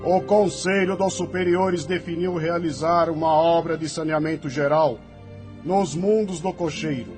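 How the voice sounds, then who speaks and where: A man performing an exalted spirit leader of celestial legions, high in pitch for a male. He proclaims with grave authority in a radio-play manner, with clear music behind him. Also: measured pace, natural voice. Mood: solemn, commanding. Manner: solemn, proclamatory. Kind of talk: spiritist audio drama. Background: prominent music.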